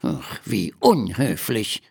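Man acting out a tiny grumpy gnome doctor, pompous, touchy, slow delivery, very lively intonation, children's storybook. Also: fairly high pitch, gravelly, pitched above the performer's own voice, full character voice. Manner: pompous, easily affronted. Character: tiny pot-bellied male gnome doctor, grumpy and fussy.

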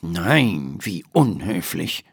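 A man's voice: fairly high pitch, sing-song, a little slow, vocal fry, fairly bright tone, voice pushed up, full character voice. A man voicing a tiny, grumpy and fussy gnome doctor in a children's story, pompous and easily affronted.

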